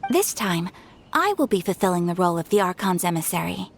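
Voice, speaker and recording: A woman voicing a gracious, modest young noblewoman and swordswoman in a video game, speaking in a formal, measured way. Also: on the low side, very animated, vocal fry, slight background noise. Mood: poised, dignified. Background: game music.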